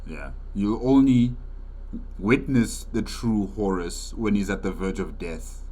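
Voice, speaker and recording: Low-voiced man giving casual commentary. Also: takes it slowly, warm tone. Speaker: reaction video host.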